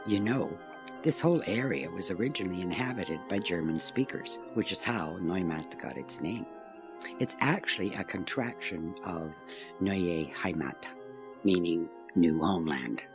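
A very low-voiced woman mayor of a small town, folksy and wry. Her pitch swings expressively as she tells local stories in an audio drama, with music behind her. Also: lively intonation, measured pace, exaggerated voice. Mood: reflective, explanatory. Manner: folksy, wry, chuckling storyteller. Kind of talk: audio drama interview acting.

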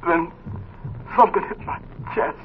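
An actor playing a gravely wounded, desperate male patient, weak, gasping and half-whispering in a 1940s radio drama. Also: measured pace, lightly acted. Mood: weak, confiding. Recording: very noisy, muffled 1940s radio recording.

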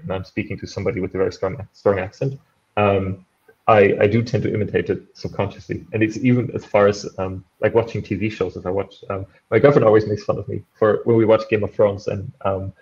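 A man's voice: fairly low pitch, fairly even intonation, quick pace, a little mellow. A young man who speaks English as a second language, with a German accent touched by a slight Irish lilt. He chats casually on a video call from home and fills his sentences with "um" and "like". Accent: German, with a slight Irish tinge. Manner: rambling, full of fillers like "um" and "like". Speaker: meetup participant. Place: bright room at home with shelves, indoors. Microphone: headphones, laptop on a video call. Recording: dull lo-fi call audio.

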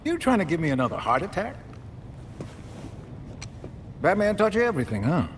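A man's voice: sits high, sing-song, normal speed, a little hiss or hum. An actor playing a wry, fatherly older inventor who mentors a hero in a video game cutscene. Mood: teasing, encouraging.

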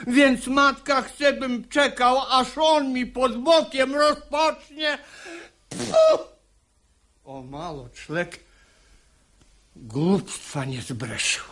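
A man's voice: extremely high pitch, big pitch swings, extremely slow, long pauses, a bit bright, full character voice. An actor playing a hot-tempered, exasperated father in a radio play, delivering verse with blustering emphasis. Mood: exasperated fury. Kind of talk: theatrical radio drama acting in verse.

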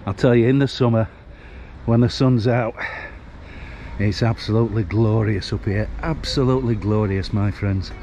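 A man's voice: on the low side, steady tone, moderate pace, dark tone, a little hiss or hum. Chatty older Northern English man outdoors. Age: 60s. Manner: chatty, folksy, rambling. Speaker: vlogger. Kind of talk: casual walking vlog chat. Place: outdoors on a seafront street. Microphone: handheld camera while walking.

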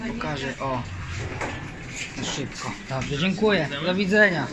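A man's voice: on the high side, animated, unhurried, a loud crowd. A young man giving casual, enthusiastic handheld commentary to his viewers about lifts. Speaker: lift enthusiast vlogger. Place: indoor lifts and lift lobbies. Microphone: handheld phone. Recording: noisy handheld phone in lift areas.

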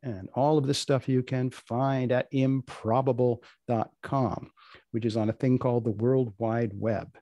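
A man hosting and wrapping up an event in a measured, deadpan way. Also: medium pitch, dry recording. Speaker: host, moderator. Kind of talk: deadpan hosting and closing remarks. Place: indoors on a video call.

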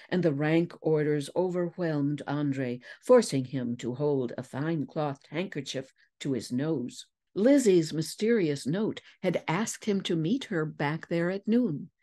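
A woman giving a dramatic, expressive audiobook narration, deliberate and articulate. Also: sits low. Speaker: voice actor and narrator.

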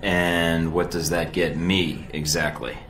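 An actor playing a cocky, impatient young secret agent in a video game, speaking in a terse, dry, smug way. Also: male voice, sits low, flat delivery, slow, a bit bright. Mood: curious, smug. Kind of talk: video game cutscene acting. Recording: roomy echo with music behind.

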